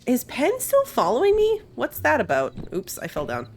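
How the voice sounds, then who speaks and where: A woman's voice: sits high, huge pitch swings, moderate pace. A woman giving casual gameplay commentary as she plays a video game. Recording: game music behind the voice.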